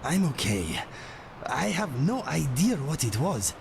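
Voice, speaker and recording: A man playing a loyal, gentle cat-man companion in a video game, speaking formally and troubled. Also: medium pitch, big pitch swings, vocal fry, noisy background. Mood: weary, reassuring. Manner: formal speech without contractions, measured. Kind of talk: video game character acting.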